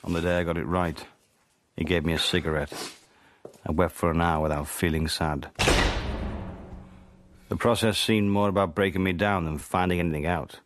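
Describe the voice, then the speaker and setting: An actor playing a weary, wry captive spy, narrating in an understated, deadpan way for a radio drama. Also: male voice, low-pitched, moderate pace, pauses often, no echo, lightly acted. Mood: detached. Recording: radio drama with faint music.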